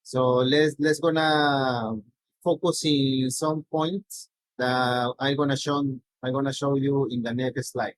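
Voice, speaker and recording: A middle-aged man from Peru, a native Spanish speaker with a Peruvian accent, giving a slide presentation in a halting way with many restarts and "no?" tags. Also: medium pitch, little pitch movement, no room sound, poor audio.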